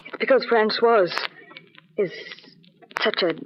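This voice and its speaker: An actress playing an anxious young wife in an old radio drama, melodramatic and full of breathy hesitations. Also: female voice, fairly high pitch, slow. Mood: guarded, wistful. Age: young adult. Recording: muffled, noisy old radio recording.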